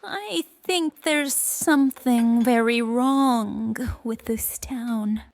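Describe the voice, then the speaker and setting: A woman playing a weary, troubled young noblewoman in a fantasy audio drama, speaking in a slow, measured way. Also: a little high, creaky, no room sound, exaggerated voice. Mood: uneasy.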